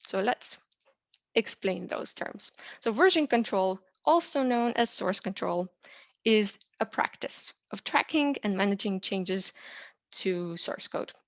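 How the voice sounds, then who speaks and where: A young adult woman from Romania, a software engineer, presents a tutorial in a clear, explanatory way with frequent "uh" and "um" fillers. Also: medium pitch, expressive, takes it slowly, dry recording. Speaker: software engineer teaching a lesson.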